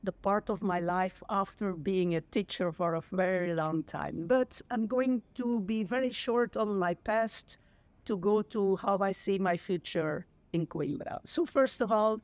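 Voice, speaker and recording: A woman's voice: medium pitch, unhurried, darkish tone, no echo. Flemish woman in her sixties, a retired teacher and Dutch native; earnest, sincere, self-correcting as she goes. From Flanders, Belgium. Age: 60s. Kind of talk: personal testimony to camera.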